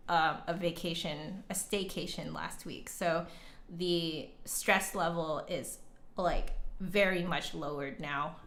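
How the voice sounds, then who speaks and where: A woman's voice: fairly low pitch, fairly even intonation, takes it slowly, fairly bright tone. A woman hosting a casual livestream, chatting with viewers and thinking aloud in a rambling, hesitant way. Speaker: livestream host, flute musician and teacher. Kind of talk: casual livestream chat, reading and answering comments. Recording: roomy, echoey indoor sound.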